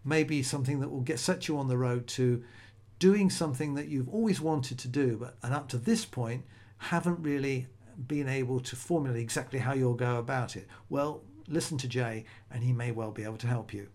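A man's voice: mid-range voice, fairly quick, a little mellow. Male solo podcast host, middle-aged, casual, rambling delivery, mid-range voice. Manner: conversational, rambling, self-correcting restarts.

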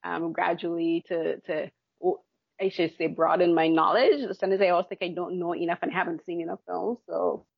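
A woman's voice: on the low side, little pitch movement, moderate pace, creaky, husky, no room sound, lo-fi sound. A woman from Barbados, a film critic talking on a podcast in a chatty, self-deprecating way with many fillers.

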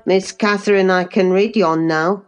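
A woman's voice: mid-range voice, fairly even intonation, clear, resonant tone, lo-fi sound, soft background music, pitched below the performer's own voice, close to an everyday voice. Woman putting on a blunt Yorkshire farm lad. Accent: rustic Yorkshire dialect. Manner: slow, blunt, drawling. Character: rough, awkward young rustic man.

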